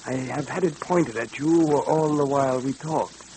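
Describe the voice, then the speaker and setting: An actor playing a mysterious caped man in an old radio drama, theatrical, formally courteous and quietly menacing. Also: mid-range voice, a little slow, close to an everyday voice. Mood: silky threat. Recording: noisy old radio recording, hum.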